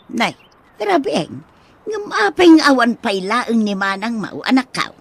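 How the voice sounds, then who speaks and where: An actress playing a nosy, exclamatory village gossip in an Ilocano radio drama. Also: female voice, fairly high pitch, sing-song, measured pace, exaggerated voice. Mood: scandalized, gossipy.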